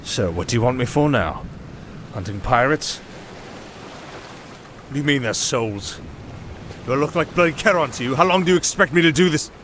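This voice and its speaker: An actor playing a silver-tongued wandering musician in a fantasy audio drama, sardonic and theatrical, easily flustered. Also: male voice, medium pitch, lively intonation, moderate pace, pauses often, fairly bright tone, a little hiss or hum, natural voice. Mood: exasperated.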